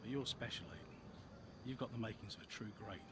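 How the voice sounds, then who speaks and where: An actor playing a blunt, coaxing older racing team mentor in a video game cutscene. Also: male voice, lowish voice, expressive, brisk, background noise, lightly acted. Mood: earnest.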